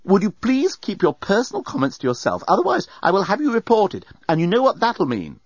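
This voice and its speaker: A man's voice: on the high side, huge pitch swings, brisk, fairly bright tone, no room sound, dull audio, pitched above the performer's own voice, full character voice. A man voicing a prim, cross woman who speaks in a firm, formal, scolding way in an audiobook story.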